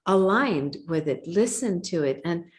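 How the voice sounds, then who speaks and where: A middle-aged woman speaks slowly and thoughtfully, almost like meditating, in a live online chat. Her voice sits a little low. She sounds calm and grounded over a video call. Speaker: co-host and coach. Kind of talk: reflective live-stream conversation.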